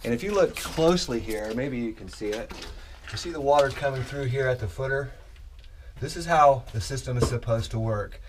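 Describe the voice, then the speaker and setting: A man's voice: medium pitch, normal speed. A middle-aged man explains a drainage job while he works in a dug-out basement, speaking plainly and practically. He is recorded on a head-mounted camera, with a faint hiss of noise underneath his voice. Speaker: drainage contractor. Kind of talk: on-site how-to walkthrough. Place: dug-out basement crawl space. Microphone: head-mounted action camera.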